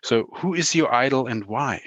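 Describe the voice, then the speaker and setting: A man's voice: mid-range voice, moderate pace, fairly bright tone, no room sound. A man co-hosting an interview, casual and hesitant, with frequent "uh" and "um". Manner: hesitant, many "uh" and "um" fillers. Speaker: podcast co-host. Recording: dry, dull lo-fi sound.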